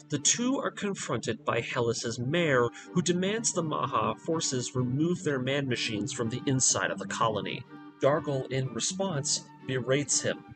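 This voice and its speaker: A male video narrator delivers a scripted story recap over clearly audible music. His mid-pitched voice moves in a lively, animated way. The delivery is dramatic and deliberate, built for storytelling. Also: poor audio. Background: background music.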